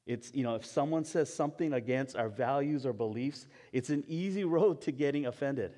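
A man's voice: medium pitch, dark tone. Chatty man preaching with self-mocking laughs. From Hawaii. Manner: halting, frequent restarts and fillers. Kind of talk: conversational sermon with personal stories.

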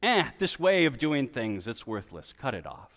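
A man's voice: medium pitch, sing-song, normal speed, vocal fry, a bit bright, voice pushed down. A man preaching in a sermon voices an impatient, dismissive vineyard owner, curt and scornful. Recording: reverberant hall, church-like echo.

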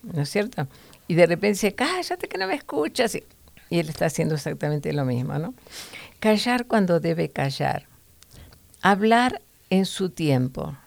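A woman's voice: lowish voice, very animated, a little slow, creaky, no echo. A woman teaching from the Bible to other women in an earnest, preachy way, with fillers and restarts.

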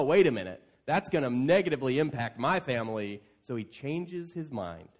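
A man with a fairly high voice delivers a sermon as a pastor. He talks conversationally to his congregation, telling the story in an animated manner and slipping into casual paraphrased quotes. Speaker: pastor preaching. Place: church, speaking to an assembled congregation.

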